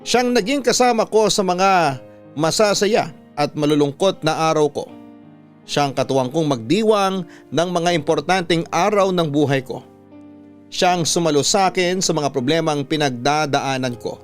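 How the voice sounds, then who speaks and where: A man with a Filipino accent narrating a sentimental first-person love story for radio in a measured, heartfelt way. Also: fairly high pitch, faint music behind, natural voice. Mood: reflective, tender. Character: reflective narrator reading a letter writer's love story.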